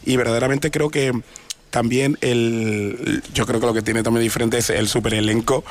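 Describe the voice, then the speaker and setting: A man's voice: mid-range voice, steady tone, moderate pace. A man with an Andalusian accent, an actor chatting in a radio interview, joking and laughing with many restarts. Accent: Andalusian Spanish. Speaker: actor guest. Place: radio studio.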